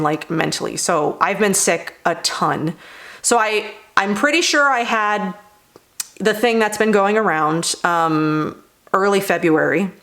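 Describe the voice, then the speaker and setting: Young adult woman, beauty vlogger, fairly low voice, a touch hoarse, loose and filler-heavy delivery. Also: fairly bright tone. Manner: rambling, many fillers like "like" and "you know". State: hoarse after laryngitis. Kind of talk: casual product review chat.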